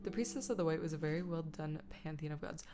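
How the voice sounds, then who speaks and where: A woman's voice: deep voice, fast talker. A woman chatting casually on a livestream as she works, playful and silly, with self-mocking asides and bits of muttering to herself. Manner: mutters and hums to herself while working. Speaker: streamer and host. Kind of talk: casual livestream chat while editing. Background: background guitar music.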